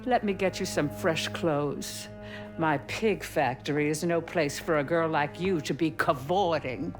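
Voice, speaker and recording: An older Southern lady speaks very slowly, with a coy, condescending courtesy that hides menace. It is a game cutscene performance, with music clearly under the voice. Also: low voice. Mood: coy, probing. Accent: American South. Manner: measured, coy, condescending politeness. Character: genteel, menacing older Southern matriarch with secrets. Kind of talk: video game cutscene acting.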